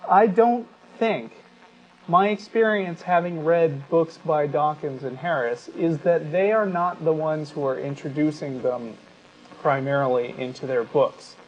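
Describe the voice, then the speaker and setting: Man, talk show co-host, fairly high voice, deliberate, sardonic, rhetorical commentary, muffled lo-fi sound. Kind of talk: opinionated commentary, reading excerpts aloud.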